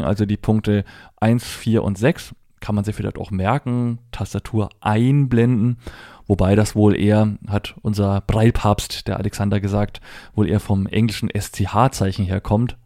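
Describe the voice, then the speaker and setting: A man's voice: on the low side, fairly even intonation, normal speed, warm tone, no room sound, studio quality. A middle-aged man giving a conversational, step-by-step tech tutorial, explaining and spelling things out as he demonstrates a device. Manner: spells out letters and dot numbers step by step. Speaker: presenter of a tech tutorial for Braille users.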